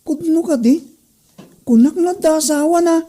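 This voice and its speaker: A woman's voice: sits high, unhurried, mellow. A woman with an Ilocano accent playing a cheeky, mischievous schoolboy who teases everyone in a comedy drama. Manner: teasing, mischievous. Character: cheeky, teasing troublemaker schoolboy Joel.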